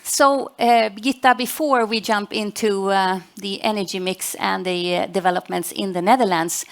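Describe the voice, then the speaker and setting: A woman's voice: mid-range voice, fairly even intonation, moderate pace, vocal fry. A woman moderating a panel discussion on a conference stage, introducing guests and prompting them with short encouraging backchannels.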